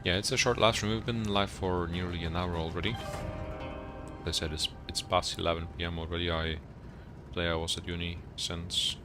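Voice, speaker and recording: A young man from Poland with a Polish accent, a native Polish speaker chatting and commentating casually as a game live streamer in a rambling, halting way. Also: deep voice, little pitch movement, over music. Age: young adult. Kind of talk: casual gaming commentary and chat. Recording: noisy game battle sounds behind voice. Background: artillery fire and vehicle game sounds.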